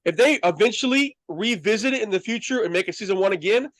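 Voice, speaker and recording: A man with a notably high voice hosts a casual review show. He talks with slangy, emphatic enthusiasm, like a fan chatting with a friend. The sound is dry and close, with no room echo. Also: animated, fast talker, a bit bright.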